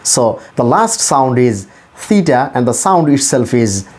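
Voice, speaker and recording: Male pronunciation tutor, slow and deliberate, with lively swings in pitch, explaining step by step and repeating himself. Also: slight background noise. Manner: deliberate, repetitive explaining, sounding out phonemes. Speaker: English pronunciation teacher. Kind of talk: instructional pronunciation lesson to camera or screen.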